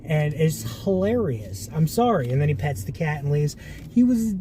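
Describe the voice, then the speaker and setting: A man's voice: a little high, big pitch swings, normal speed. A man from Massachusetts giving a casual, rambling spoken film review from inside a car, often restarting his sentences. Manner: rambling, conversational, frequent restarts. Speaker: movie reviewer. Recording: loud car road noise. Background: car engine and road noise.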